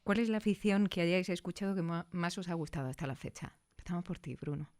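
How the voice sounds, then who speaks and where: A woman's voice: on the low side, quick pace, a little mellow. A woman from hosting a casual interview and putting questions to guests. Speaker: interviewer, host.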